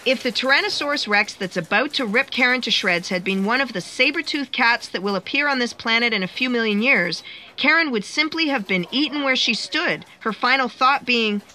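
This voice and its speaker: A bossy, wry female narrator of a cosmic book. Her voice is fairly high and bright, presenting briskly in a comic sci-fi audio drama. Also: animated, slight background noise. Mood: wry, officious.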